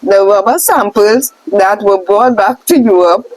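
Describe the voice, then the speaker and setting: A woman reading a scripted question-and-answer lesson aloud, setting out names and lists deliberately. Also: medium pitch, a little mellow, no echo, rough recording. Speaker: reader of a scroll text.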